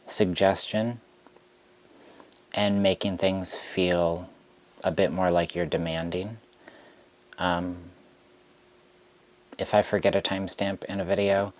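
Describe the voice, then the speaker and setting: A man who reads tarot, speaking straight to camera in a frustrated rant, deliberate and halting with frequent ums. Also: low voice. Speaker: tarot reader.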